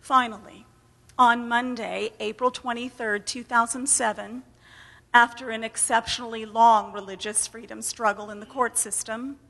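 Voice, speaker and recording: A woman's voice: on the high side, steady tone, moderate pace, gravelly, pushed and bright. An American woman giving an emphatic, theatrical dramatic reading of a first-person story. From United States. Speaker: reader at a storytelling event. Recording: reverberant hall.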